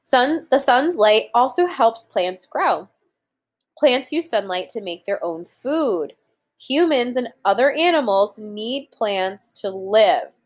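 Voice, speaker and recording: A woman in her thirties reading aloud to young children as a teacher, pausing to explain things simply and deliberately. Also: a little high, expressive. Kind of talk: children's read-aloud lesson with explanatory asides. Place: room at home, indoors.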